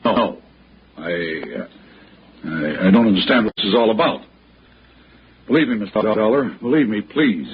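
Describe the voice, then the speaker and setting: A man's voice: fairly low pitch, normal speed, long pauses, lightly acted. A man playing a frightened, bewildered suspect in a 1960s radio drama, stammering and pleading. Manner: agitated, stammering. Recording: dull, noisy vintage radio recording.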